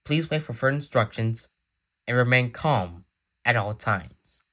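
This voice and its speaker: A man's voice: mid-range voice, lively intonation, measured pace, bright, forceful tone. A man speaking as an emergency broadcast announcer, reading a scripted news announcement in a formal, solemn manner.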